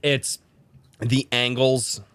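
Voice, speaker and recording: A man's voice: medium pitch, little pitch movement, extremely slow, a bit bright, smooth, no echo. A man talking casually as a podcast panelist. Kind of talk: casual chat.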